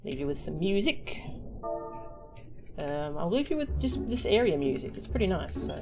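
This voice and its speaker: A woman's voice: on the low side, very animated, moderate pace, over music. A woman streaming a video game, chatting casually and trailing off and restarting as she thinks aloud. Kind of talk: casual chat while playing and reading game text aloud.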